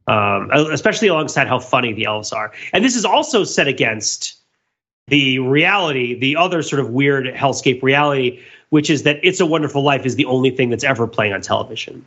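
A man's voice: medium pitch, a bit bright, highs cut off. A man hosting a podcast, talking casually in a rambling, analytical way. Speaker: podcast host. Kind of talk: casual discussion of a children's TV special.

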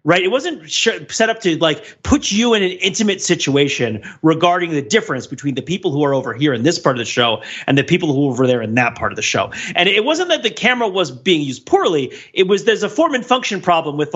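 A man on a podcast giving casual, animated, digressive commentary, often checking in with "right?". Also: fairly high pitch, breathy edge, highs cut off. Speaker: podcast host. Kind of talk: casual podcast discussion and critique.

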